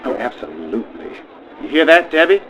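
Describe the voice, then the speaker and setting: A man playing a blustering adult who cajoles children in an improvised comedy radio play, halting and conspiratorial with emphatic bursts. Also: mid-range voice, sing-song, background noise, natural voice. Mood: stern then approving.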